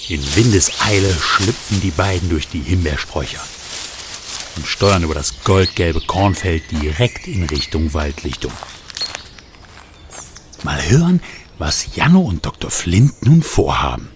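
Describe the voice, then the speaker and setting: A man narrating as a talking storybook in a children's radio play, telling it with lively, flustered storytelling. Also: low-pitched, measured pace, heavy background noise. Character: talking storybook, male, chatty, fretful narrator.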